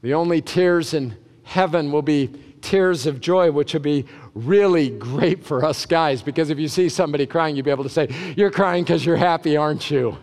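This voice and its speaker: A man preaching a sermon as a pastor, emphatic, with deliberate pauses and repeated short phrases, in a large hall. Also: a little high, a little mellow.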